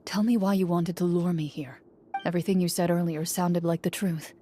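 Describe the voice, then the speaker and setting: An actress playing a composed, formal female duelist and monster hunter in a video game, speaking in a measured, reserved manner. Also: lowish voice, steady tone, dark tone, a little hiss or hum, lightly acted. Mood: cool, probing.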